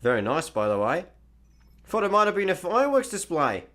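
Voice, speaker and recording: A chirpy space drifter acted by a man with a highish voice that swings up and down. He talks in breezy, mock-grand patter, a matey layabout from a sci-fi comedy radio play. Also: huge pitch swings, fairly quick, fairly bright tone, full character voice. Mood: breezy, cheerful. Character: chirpy, wordy, matey male intergalactic layabout.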